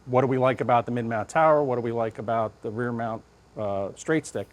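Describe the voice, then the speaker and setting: Deliberate man in a conversational interview. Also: mid-range voice, steady tone. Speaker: fire lieutenant being interviewed.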